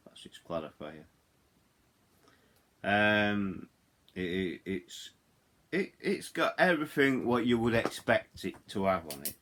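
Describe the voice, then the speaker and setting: A middle-aged man with a low voice and a Yorkshire accent talks through a product in an informal, hands-on way. He rambles, drifting off track and circling back to repeat his points. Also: big pitch swings, slow, pauses often, fairly bright tone. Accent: Yorkshire English. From Yorkshire, England. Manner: rambling, digressive, repeats himself. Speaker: hobbyist radio reviewer. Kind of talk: casual hands-on product walkthrough.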